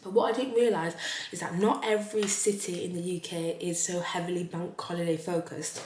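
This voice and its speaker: A woman, chatting in a casual vlog while doing her makeup. She rambles with lots of fillers and gets animated when she talks about something that surprised her. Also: fairly low pitch, brisk, reverberant, background noise. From Leeds, northern England. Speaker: vlogger.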